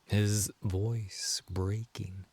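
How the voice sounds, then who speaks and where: Low, measured male storyteller. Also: level tone, darkish tone, husky, no room sound. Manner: measured, solemn. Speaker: narrator. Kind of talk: dramatic scripted story narration.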